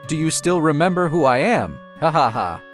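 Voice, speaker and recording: A man's voice: on the high side, very animated, moderate pace. A caring teenage boy, played by a man speaks as the sweetheart in a comic dub. His intonation swings widely, protective and reassuring in manner. Light music sits behind the dialogue. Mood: soothing. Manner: gently scolding, reassuring. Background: background music.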